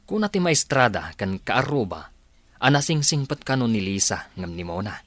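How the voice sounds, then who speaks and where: Actor as a animated, gossiping village man. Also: medium pitch, moderate pace, no echo. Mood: gossipy. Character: gossiping village man chatting with his buddy. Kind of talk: Ilocano radio comedy-drama acting.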